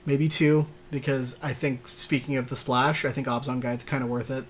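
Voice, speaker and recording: A man with a medium-pitched voice chats casually as a podcast co-host. He banters about picks in a card game draft over a noisy background. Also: steady tone, normal speed.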